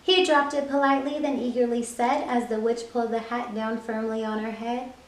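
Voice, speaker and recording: A woman hosting a children's storytime, reading a picture book aloud with an expressive, rhythmic rhyming delivery. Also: on the high side, brisk. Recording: echoey room.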